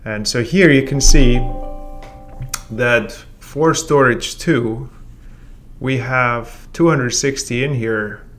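A man in his forties teaching a software tutorial in a relaxed, step-by-step way, with frequent ums. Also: mid-range voice, slow pace, faint music behind. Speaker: software trainer. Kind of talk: casual screen-share tutorial. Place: room with a brick wall and whiteboard, then voice-over of screen recording.

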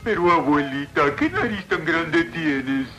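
A man's voice: fairly high pitch, expressive, unhurried, rough recording. An actor playing a naively pompous male sheepdog guard with a Latin American accent in a cartoon dub. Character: slow, gullible, self-important male sheepdog guard. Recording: old cartoon soundtrack with music.

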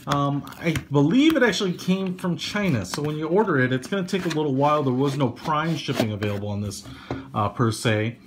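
A man's voice: on the high side, expressive, fairly quick, slight background noise. A young man giving a casual, rambling unboxing review indoors, with lots of fillers and slangy asides. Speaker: product reviewer. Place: small indoor room.

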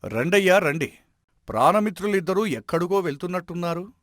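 A man playing a wise, respected village elder in an animated moral story, giving advice in a measured, admonishing way. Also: sits high, no echo, clean studio sound. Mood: friendly inquiring.

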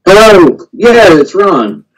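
A man's voice: high-pitched, very animated, slow pace. A man with an American accent chatting casually as a call-in guest over an internet audio call. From United States. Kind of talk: casual chat. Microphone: remote internet audio call. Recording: dull, band-limited internet call audio.

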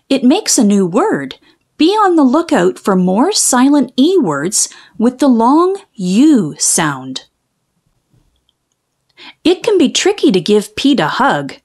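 A woman reading a children's phonics storybook aloud in a careful, deliberate way, stretching out key sounds. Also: fairly high pitch.

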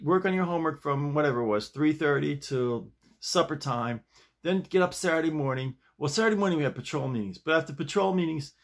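An American man in his sixties chatting casually to camera in a halting, digressive way, often repeating his phrases. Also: a little high. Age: 60s. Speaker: vlogger. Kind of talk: rambling casual chat to camera. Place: small home office, indoors.

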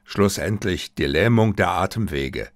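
A man's voice: low-pitched, expressive, unhurried, no echo, clean studio sound. A man voicing a composed Japanese intelligence officer in an audiobook, formal, grave and matter-of-fact. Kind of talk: audiobook character reading.

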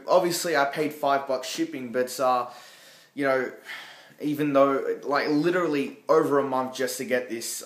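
A young man with a slightly high voice rambles through a casual vlog, full of ums and restarts. He holds his phone at arm's length in a small home kitchen. The room gives his voice a hollow, echoey ring. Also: fairly bright tone. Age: young adult. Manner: rambling, lots of fillers and restarts. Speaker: vlogger. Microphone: phone held at arm's length.

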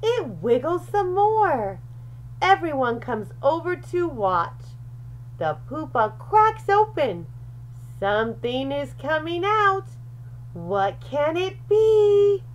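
A woman reading a children's picture book aloud in an expressive, deliberate storyteller's manner. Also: high voice, a little hiss or hum, poor audio. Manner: animated, deliberate, with questions and asides to young listeners.

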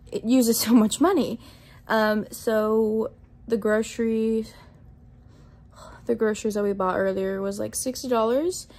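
A woman's voice: fairly high pitch, darkish tone. A woman in her twenties with an American accent, chatting casually to her vlog camera at home. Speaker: vlogger. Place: indoors at home, living room and kitchen. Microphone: phone or camera at arm's length. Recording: noticeable room noise from handheld camera.

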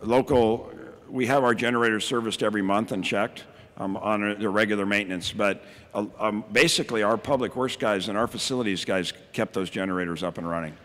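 Middle-aged man, fairly low voice, plainspoken with many ums, podium mic, reverberant hall. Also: brisk, fairly bright tone. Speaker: county emergency services director, keynote speaker. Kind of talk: keynote talk and audience Q&A.

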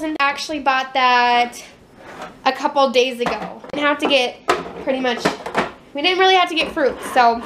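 A woman in her twenties with an American accent, talking casually through items for a home vlog in short, halting phrases. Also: high-pitched. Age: 20s. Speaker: family vlogger. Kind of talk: casual show-and-tell vlog narration. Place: home kitchen. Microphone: handheld camera at arm's length. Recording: noisy handheld camera audio in a kitchen.

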